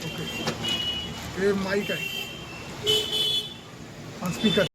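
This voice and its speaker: A man's voice: sits high, steady tone, very slow. A man with a Maharashtrian Indian accent talking casually in an unboxing vlog, informally mixing in English words. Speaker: vlogger. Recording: very noisy, outdoor traffic-like background noise.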